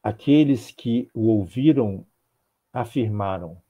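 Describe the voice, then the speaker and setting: Older Brazilian man giving a measured sermon. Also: fairly low pitch, mellow. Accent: Brazilian Portuguese. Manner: measured, rhythmic phrasing with repeated clauses. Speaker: spiritist lecturer. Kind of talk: religious talk. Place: home office with bookshelves. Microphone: earphones with mic on a video call.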